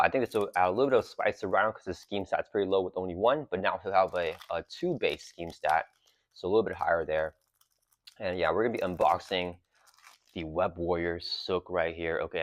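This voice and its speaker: Low-voiced man with a sore throat. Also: brisk, no echo. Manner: relaxed, rambling, many fillers. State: sore throat, cough drop in mouth. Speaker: channel host. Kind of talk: casual unboxing and card game talk.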